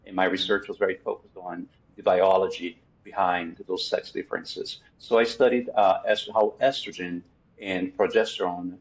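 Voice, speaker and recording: Deep-voiced Brazilian man, earnest and halting. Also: steady tone, lo-fi sound. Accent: Brazilian Portuguese. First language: Portuguese. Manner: earnest, many "uh" fillers, methodical. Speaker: guest psychiatrist. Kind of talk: podcast interview.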